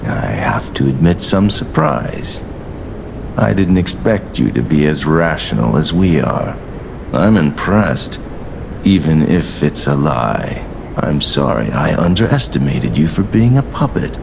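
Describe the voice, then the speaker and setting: An actor playing a grandiose, condescending alchemist leader in a video game, speaking with measured, mock-courteous pomp. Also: male voice, very deep voice, big pitch swings, creaky, noisy background, full character voice. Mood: smug, courteous. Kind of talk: theatrical video game villain monologue.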